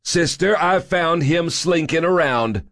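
A man's voice: medium pitch, animated, normal speed, a bit bright, no room sound, studio quality, voice pushed up, lightly acted. A man voicing a short, fat, self-important male busybody who talks in a pompous, huffy way. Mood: indignant, accusing.

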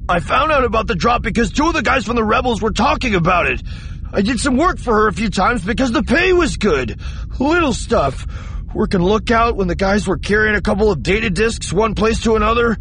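A man's voice: sits high, lively intonation, fairly quick, bright, forceful tone, a little hiss or hum, pitched above the performer's own voice, heavily acted. A man voicing a cowardly small-time crook in an audiobook, whining, stammering and begging. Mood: tearful, pleading. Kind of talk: audiobook character acting.

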